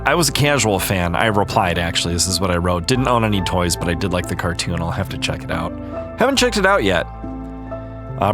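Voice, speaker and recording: Calm, low-voiced man reading comments. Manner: calm, easygoing, chatty. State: a little stuffy-nosed. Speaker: gaming channel host.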